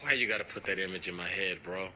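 A man's voice: low voice, fast, pushed and bright. An actor playing a casual, slangy gangster sidekick who grumbles his way through a video game. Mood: grumbling. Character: laid-back, grumbling male gangster sidekick. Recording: clear noise behind dialogue.

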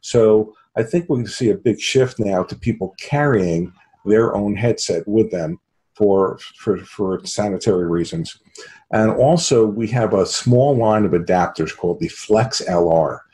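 An American man in his fifties giving a halting product pitch as an interview guest on a video call from a home office. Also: mellow, low-quality recording. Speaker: sales executive, interview guest. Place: small home office, indoors. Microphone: computer video call.

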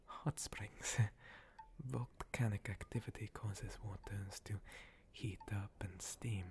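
A man playing a gentle, long-lived monster cleric in a whispered ASMR roleplay, breathy, courteous and a little hesitant, with formal phrasing. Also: lowish voice, steady tone, slow pace. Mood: gentle, hospitable.

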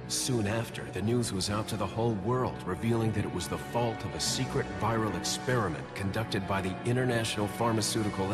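Low-voiced cool young American agent acted by a man. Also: lightly acted. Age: young adult. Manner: cool, measured, dry. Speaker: video game protagonist, narrator. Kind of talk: scripted game narration and dialogue. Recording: loud music under the voice.

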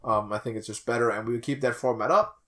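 A man's voice: mid-range voice, fairly even intonation, fast talker, a bit bright. A young man hosting a podcast, chatting informally and teasing in a small room. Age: young adult. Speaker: podcast host. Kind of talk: casual podcast chat. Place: small attic room, indoors.

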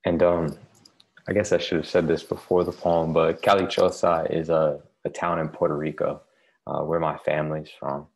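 A man's voice: rumbling low, little pitch movement, normal speed. A man in his thirties reading and introducing poems from home on an earbud mic over a video call. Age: 30s. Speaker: poet at a reading. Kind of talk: poetry reading with casual introductions. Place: home living room, indoors. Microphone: wired earbuds on video call.

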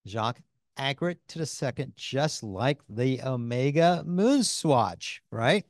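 An older man co-hosting a scripted company town hall in a studio, speaking into a handheld mic with upbeat, quick affirmations. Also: medium pitch, lively intonation. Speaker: co-host. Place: studio set in front of a screen.

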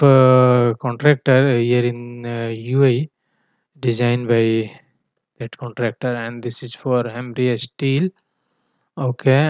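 A man giving a technical tutorial in a halting manner, often punctuating his explanations with a checking "okay". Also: medium pitch, a little mellow, clear, resonant tone, dry recording. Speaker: engineering tutor. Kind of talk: tutorial walkthrough of technical drawings. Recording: dull, muffled lo-fi voice track.

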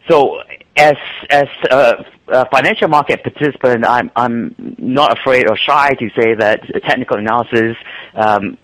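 A man from Malaysia answers interview questions in a low voice, stopping often to restart with ums and uhs. The sound is dry and close, with no room around it. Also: fairly bright tone, no echo, low-quality recording. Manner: hesitant, many ums and restarts. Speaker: guest trader and author.

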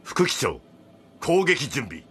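A man playing a gloating enemy submarine commander in an audio drama, husky, commanding and theatrical. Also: mid-range voice, sing-song, takes it slowly, fairly bright tone, exaggerated voice. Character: smug male villain submarine commander. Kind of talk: Japanese audio drama acting.

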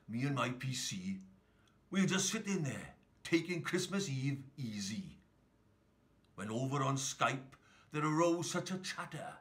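A man reading a rhyming children's story in a theatrical, expressive storytelling manner. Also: on the high side. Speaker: story reader.

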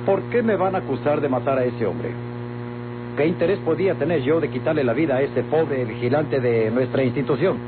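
A man's voice: on the high side, brisk, close to an everyday voice. An actor with a Mexican accent playing an evasive, indignant businessman accused of murder, formal and defensive, in a vintage radio drama. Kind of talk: Mexican golden-age radio drama acting. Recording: muffled vintage radio recording, music behind.

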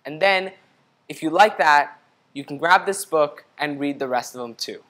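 A young man reads a picture book aloud for children. He speaks in an instructive storytelling way, pausing to address the young listener directly. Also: a little high, fairly bright tone. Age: young adult. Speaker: storybook reader. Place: indoor studio room. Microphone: lapel mic.

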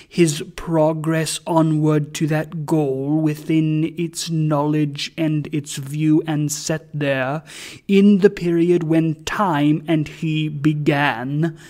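A storybook reader acts out a solemn goblin spirit of an ancient bell. The delivery is slow and weighty, a grave oration full of stern accusation. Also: darkish tone, close to an everyday voice. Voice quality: low, deep.

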